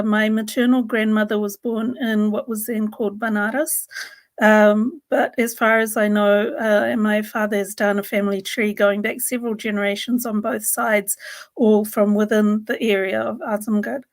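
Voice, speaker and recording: A woman in her fifties, born in India and raised in New Zealand, giving a measured public talk with frequent ums and uhs. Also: mid-range voice, steady tone. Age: 50s. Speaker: speaker presenting a report.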